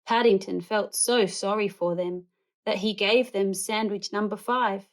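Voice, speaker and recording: A woman reading a picture book aloud to children in an expressive, animated storytelling way. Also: mid-range voice, measured pace. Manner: animated, acts out characters and animal noises.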